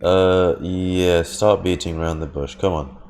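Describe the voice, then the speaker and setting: A man's voice: low-pitched, fairly even intonation, unhurried, a crowd in the background. A man streaming a video game, giving casual, slangy, wry reactions off the cuff.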